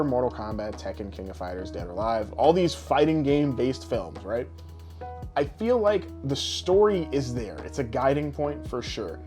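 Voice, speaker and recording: A man's voice: medium pitch, a little mellow. Casual, opinionated man over background music. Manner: informal, opinionated, profane. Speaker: podcast co-host. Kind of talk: casual podcast chat about pop culture. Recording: music playing under the voice.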